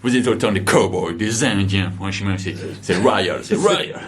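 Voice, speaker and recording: A man's voice: lowish voice, moderate pace, slight background noise. A man chatting enthusiastically indoors in a hotel, repeating his words as he talks.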